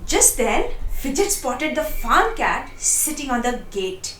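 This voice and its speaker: A woman narrating a children's storybook in a lively, expressive read-aloud style, addressing young listeners directly. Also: high-pitched, slow, echoey room, slight background noise.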